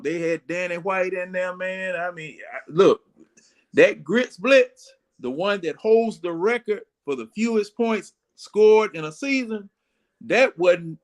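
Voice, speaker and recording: A man's voice: high voice, lively intonation, unhurried. Older man in his sixties, folksy talker. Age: 60s. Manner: rambling, folksy storytelling. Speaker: sports talk panelist. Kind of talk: casual football fan chat.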